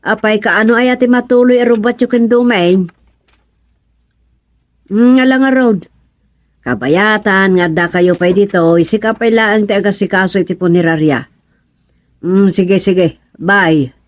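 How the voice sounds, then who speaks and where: An actress plays a village woman with a middle-pitched voice in an Ilocano radio comedy drama. She is friendly and practical, always organizing the neighbors. The sound is dry and close. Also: measured pace, pauses often, no echo, close to an everyday voice. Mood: brisk, practical.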